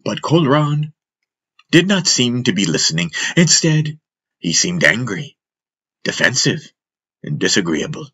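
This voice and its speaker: A man narrating a fantasy audiobook in a measured, solemn, dramatic storytelling style. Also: medium pitch, very animated, bright, forceful tone, breathy edge.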